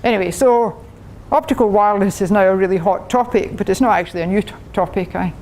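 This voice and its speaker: A woman's voice: mid-range voice, fast, darkish tone, poor audio. An older Scottish woman, a professor, giving a chatty technical talk to a club, laughing often and restarting her sentences. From Scotland. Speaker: guest speaker, professor.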